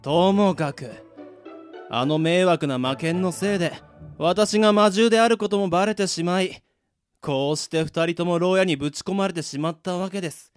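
Proud Cerberus beast man acting, composed, politely sardonic, lighter high register, radio drama with soft music underneath. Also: normal speed, dry recording, natural voice. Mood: resigned. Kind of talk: anime-style amateur radio drama acting.

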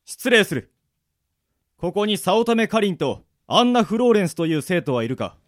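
A man's voice: high voice, big pitch swings, moderate pace, no room sound, clean studio sound. A man playing a formal, self-assured student council president, a teenage boy, in a radio drama. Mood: commanding.

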